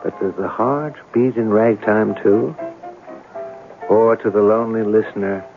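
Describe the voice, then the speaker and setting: An actor playing a probing, eloquent newspaper reporter with theatrical, deliberate phrasing in an old-time radio drama. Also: male voice, fairly low pitch, fairly even intonation. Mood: musing. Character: probing, eloquent newspaper reporter in turn-of-century New York. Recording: narrowband old radio broadcast, music behind.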